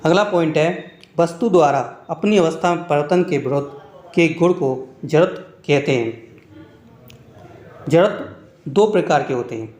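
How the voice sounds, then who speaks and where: Male exam tutor, slightly high voice, point-by-point instructional read, recorded in a very echoey room. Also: darkish tone. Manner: instructional, reading out points one by one. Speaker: exam-prep teacher and video host. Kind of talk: scripted lesson narration with short explanations.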